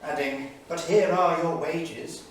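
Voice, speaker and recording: An actor playing a bitter, erudite English diarist of the 1930s, declaiming a journal in a sardonic, literary manner. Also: male voice, on the high side, fairly even intonation, slow pace. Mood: scornful irony. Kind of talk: staged dramatic reading of a journal. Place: theatre studio stage, far microphone. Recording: distant echoey theatre studio, faint noise.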